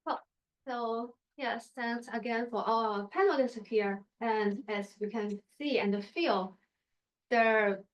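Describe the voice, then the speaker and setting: A woman's voice: a little high, takes it slowly. A woman moderating a panel and introducing the speakers informally. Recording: dull lo-fi sound.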